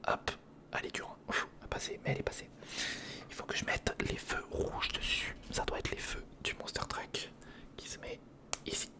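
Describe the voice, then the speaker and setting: A man whispering in a breathy, crackly voice, casually murmuring a step-by-step ASMR commentary as he thinks aloud. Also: moderate pace. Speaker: ASMR video creator.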